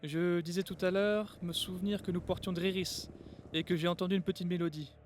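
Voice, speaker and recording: A man playing a cautious young adventurer who quietly takes charge, in a natural, conversational French audio drama style. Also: sits high, fairly even intonation, a little fast, a little hiss or hum, close to an everyday voice. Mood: weary, questioning.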